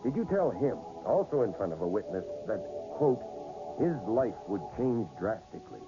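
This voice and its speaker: A man's voice: medium pitch, sing-song, moderate pace, over music, lightly acted. Man playing a tough, curt police detective, muffled recording. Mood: hard, accusing. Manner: curt, bullying interrogation. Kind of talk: 1980s radio drama acting. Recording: dull, noisy old radio broadcast recording.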